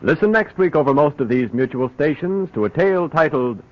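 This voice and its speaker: A male radio announcer giving a formal, crisp sign-off. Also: fairly high pitch, lively intonation, a little fast. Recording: noisy, muffled old radio broadcast.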